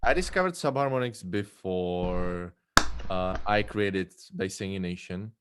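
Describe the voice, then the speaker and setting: A man, a bass singer, answering interview questions in a casual, hesitant way with many fillers and restarts. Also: a little slow, heavy background noise. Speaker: a cappella bass singer and arranger.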